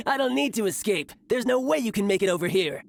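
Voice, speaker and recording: A woman's voice: fairly high pitch, lively intonation, normal speed, vocal fry, slight background noise. A woman voicing a smug, cocky bandit thief in a video game, sneering and condescending.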